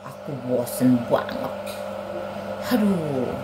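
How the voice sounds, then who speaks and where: A woman's voice: extremely low pitch, animated, slow pace, voice pushed down, heavily acted. A woman voicing a bored, grumbling schoolboy character in a children's Sunday school skit, with a sullen manner. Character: Anto, grumbling schoolboy puppet, bored and scolded. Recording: clear background noise.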